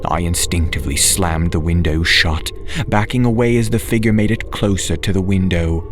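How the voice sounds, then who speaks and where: A man with a low-pitched voice reads a horror story as an audiobook narrator. His delivery is unhurried, measured and dramatic, over clearly audible background music. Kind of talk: scripted first-person horror narration.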